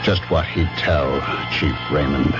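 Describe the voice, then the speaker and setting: An actor playing a calm, obliging man who narrates a radio drama in measured tones. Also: rumbling low. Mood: pensive. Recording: dull vintage radio broadcast, loud music.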